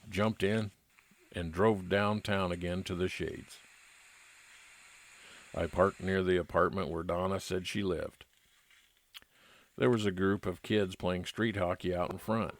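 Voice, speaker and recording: A man narrating a scripted first-person detective story as a private investigator, in old-time radio style. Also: sits low.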